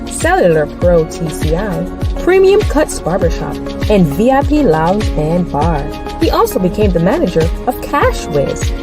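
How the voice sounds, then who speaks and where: A woman reads a guest introduction as scripted narration, with a lively, animated pitch. Clear music plays behind her voice. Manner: reads a guest biography aloud. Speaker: show announcer.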